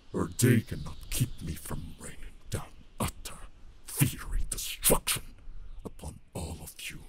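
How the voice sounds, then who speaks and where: A man voicing a wrathful, possessive ancient dragon in an ASMR roleplay drama, making booming threats. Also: noisy background, exaggerated voice.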